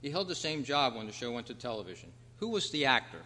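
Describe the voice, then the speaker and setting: A man hosting a trivia quiz at a club meeting, reading out questions in a measured, announcing way. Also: medium pitch, sing-song, sharp tone. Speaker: quiz host. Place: hall at a convention club meeting. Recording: reverberant hall, clear background noise and hum.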